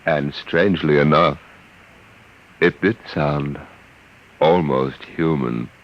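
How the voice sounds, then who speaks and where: Very deep, slow radio narrator. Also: male voice, fairly even intonation, very noisy. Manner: measured, ominous delivery. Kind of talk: scripted 1950s radio narration. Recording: dull old radio broadcast over loud music.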